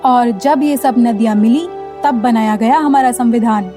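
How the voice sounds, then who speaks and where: An actress playing a curious, admiring young student, inquisitive and reflective, in an educational radio play. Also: female voice, fairly high pitch, measured pace, music in the background, natural voice.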